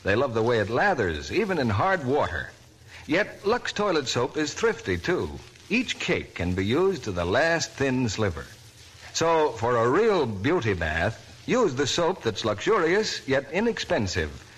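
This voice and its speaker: A male announcer reading a 1940s radio commercial in a smooth, persuasive way. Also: mid-range voice, huge pitch swings, a little slow, fairly bright tone. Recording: old noisy radio broadcast.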